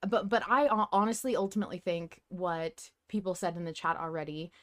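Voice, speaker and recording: A woman's voice: lowish voice, brisk, fairly bright tone. A woman hosting a podcast in casual, enthusiastic discussion. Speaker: podcast host.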